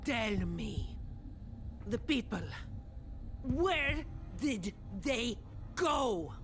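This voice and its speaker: A woman's voice: on the high side, very animated, very slow, over music, exaggerated voice. An actress voicing a stern, composed, protective young woman fighter in a video game, cool and businesslike.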